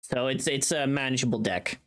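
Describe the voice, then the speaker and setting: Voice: medium pitch, moderate pace. A livestream host chatting casually while working, informal and sweary, often thinking aloud.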